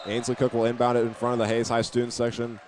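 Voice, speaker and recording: A man calling live high school basketball play-by-play as a broadcaster from inside a gym. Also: completely flat delivery, quick pace, a faint crowd. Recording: faint gym noise behind the voice.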